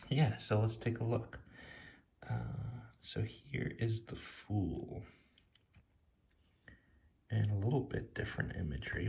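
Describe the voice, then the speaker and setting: A man speaks softly in a low, heavily creaky voice, as in a casual ASMR review. He rambles haltingly and thinks aloud as he goes. There is clear background noise on the recording, with clicks and tapping. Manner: hesitant, halting, unscripted musing. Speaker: tarot deck reviewer.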